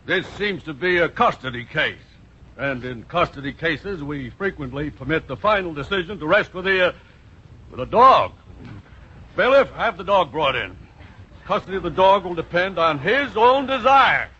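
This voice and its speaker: Stern, forceful old-radio judge. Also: male voice, a little high, lively intonation, normal speed. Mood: formal, official. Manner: formal, commanding. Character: stern, exasperated divorce court judge keeping order. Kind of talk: 1930s-40s radio comedy acting. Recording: dull, noisy vintage radio broadcast recording.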